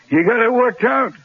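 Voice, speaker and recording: An actor playing a wary, reluctant small-time hoodlum with streetwise slang and a husky voice in a radio drama. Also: male voice, very high voice, phone-quality sound, exaggerated voice. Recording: thin, band-limited old radio recording.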